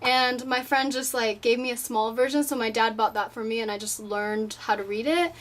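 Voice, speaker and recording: An eighteen-year-old woman from Japan, her voice sitting a little high, chats through viewer questions from her bedroom. She talks loosely and rambles. She drops "like" into nearly every sentence. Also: steady tone, normal speed. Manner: chatty, rambling, fills with "like" often. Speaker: vlogger answering viewer questions. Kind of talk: casual Q&A vlog. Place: her own room at home.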